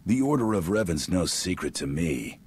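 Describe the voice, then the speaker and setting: An actor playing a gruff, terse armoured male bounty hunter in a video game, with a dry way of talking. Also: low-pitched, very animated, normal speed, darkish tone, a little hiss or hum. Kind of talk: scripted video game cutscene acting.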